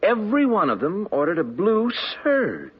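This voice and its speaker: A man playing an exasperated tailor shop owner in a 1950s radio drama, with a husky, peevish tone. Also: high voice, huge pitch swings, normal speed, full character voice. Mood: puzzled. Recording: dull lo-fi old radio broadcast.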